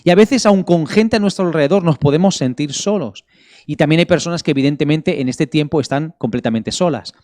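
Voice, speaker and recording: A middle-aged man from Barcelona with a Spanish accent from Spain, preaching as a pastor to an online congregation in an earnest, measured way with pauses for emphasis. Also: a little high, darkish tone. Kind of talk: online sermon with prayer and scripture reading.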